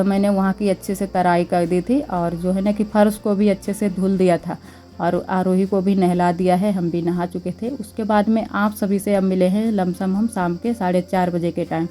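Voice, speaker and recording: A young woman with a slightly hoarse voice from a sore throat narrates her daily vlog in a chatty, homely way, thanking her viewers as she goes. Her tone is mellow and warm, and faint background music plays beneath her. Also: mid-range voice. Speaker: vlogger. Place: village home, household chores. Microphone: clip-on mic.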